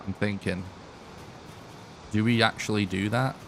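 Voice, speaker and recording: A young man streaming live gameplay who is losing his voice, thinking aloud and clearing his throat often. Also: fairly low pitch, steady tone, measured pace, fairly bright tone, slight background noise. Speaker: game streamer.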